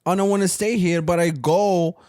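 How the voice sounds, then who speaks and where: A man's voice: high-pitched, steady tone, normal speed, darkish tone, no room sound, clean studio sound. A man from Morocco co-hosting a podcast, talking casually and rambling, often tagging his points with "you know what I'm saying". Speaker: podcast co-host. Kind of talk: casual chat.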